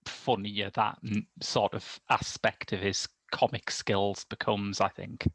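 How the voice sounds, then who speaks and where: Man, gravelly fry, dry close sound, wry, opinionated, conversational. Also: lowish voice, level tone, measured pace, a bit bright, dry recording. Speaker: podcast panelist reviewing films. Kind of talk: casual film discussion chat. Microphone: remote call microphone.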